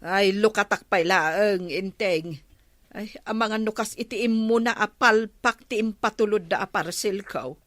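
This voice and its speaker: A woman's voice: medium pitch, unhurried, a bit bright, dry recording, natural voice. A woman acting an exasperated, gullible village captain's wife in a radio comedy drama, with an Ilocano accent and a whiny, exclaiming manner. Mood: dismayed.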